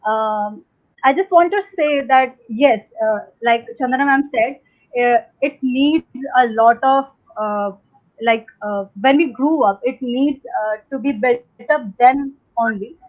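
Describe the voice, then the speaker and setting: A woman's voice: on the high side, measured pace. A young woman from India whose first language is Hindi, answering in a rambling, hesitant way full of fillers and restarts, as a panel guest on a video call. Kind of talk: unscripted conversational answers. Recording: tinny phone-like line.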